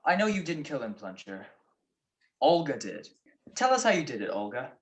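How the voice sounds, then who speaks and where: Wry, lively radio detective played by a man. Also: medium pitch, normal speed. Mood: wry, accusing. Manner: wry, teasing. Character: wry, shrewd married male private detective. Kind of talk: 1940s radio detective drama acting.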